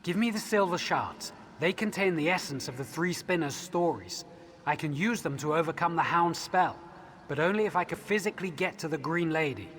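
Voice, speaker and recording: An actor playing an earnest elf leader in a video game, giving measured, solemn instructions with urgency. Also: male voice, fairly high pitch, fairly bright tone, a little hiss or hum, natural voice. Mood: grave, urgent. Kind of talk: video game quest dialogue.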